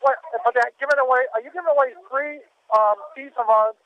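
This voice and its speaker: Male radio host, very high voice, excitable and stammering, casual street interviews, muffled noisy remote recording. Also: fast.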